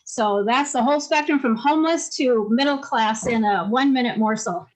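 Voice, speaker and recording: A woman's voice: fairly high pitch, moderate pace, rough recording. A woman answering earnestly and personally as a mayoral candidate on a video call.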